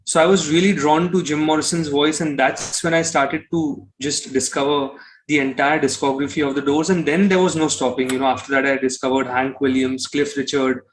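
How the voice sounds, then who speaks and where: A man's voice: on the high side, steady tone, brisk, slight background noise, rough recording. A young man with an Indian accent answering casually as an interview guest, explaining things reflectively. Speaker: interview guest, singer-songwriter.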